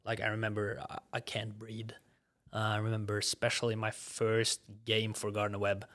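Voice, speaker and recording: A young man from Norway with a Norwegian accent, chatting casually as a guest about playing college soccer, relaxed with easy laughter. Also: lowish voice, normal speed. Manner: relaxed, laughs easily, many fillers. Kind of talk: casual chat.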